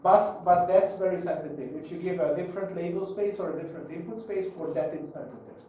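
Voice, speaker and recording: Guy co-presenting tech stuff off the cuff, high mellow voice, clarifying as he goes. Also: fast. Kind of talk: unscripted technical explanation. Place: indoor meetup room. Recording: very echoey room, distant mic, faint noise.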